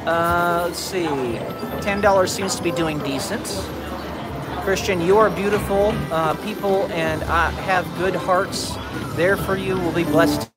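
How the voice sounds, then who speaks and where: A man's voice: high-pitched, unhurried. A man from California hosting a live slot stream, friendly, reading out and greeting viewer messages. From California, USA. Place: casino floor. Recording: loud casino machine music behind. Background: loud slot machine music.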